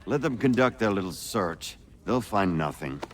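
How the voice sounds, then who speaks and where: An actor playing an unworried, genteel colonial patriot leader in a video game, courteous yet dismissive. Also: male voice, fairly low pitch, lively intonation, moderate pace. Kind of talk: video game cutscene acting.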